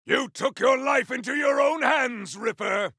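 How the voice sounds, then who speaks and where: Game hero: grandiose, vengeful elemental warrior, very gravelly and forceful, theatrical archaic combat lines. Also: male voice, very high voice, measured pace, dry recording, clean studio sound, exaggerated voice. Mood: fierce, bombastic. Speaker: voice actor for a video game character. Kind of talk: video game combat barks.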